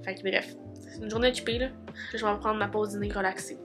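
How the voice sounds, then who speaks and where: A woman's voice: medium pitch, steady tone, fast, fairly bright tone, soft background music. A woman in her twenties with a Québécois French accent, chatting casually in a vlog diary while stuffy from a cold, full of fillers. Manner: chatty, many fillers like "fait que" and "tu sais". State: congested from a cold. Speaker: vlogger.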